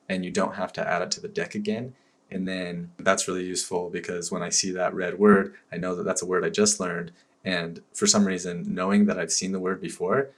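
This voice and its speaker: Low, flat-voiced man reviewing casually. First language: English. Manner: relaxed, rambling with fillers and restarts. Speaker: language learning vlogger. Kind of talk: casual review talk to camera.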